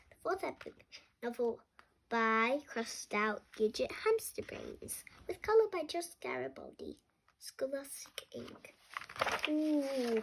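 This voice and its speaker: A seven-year-old child reading a funny children's book aloud, expressively and with some halting stumbles over words. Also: high voice, gravelly, a bit bright, slight background noise, rough recording. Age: 7 years old. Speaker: young reader.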